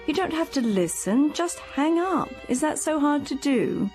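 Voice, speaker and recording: A woman's voice: high voice, lively intonation, unhurried, darkish tone, soft background music. A woman playing a patient carer in a radio drama, reasoning in a soothing but firm manner.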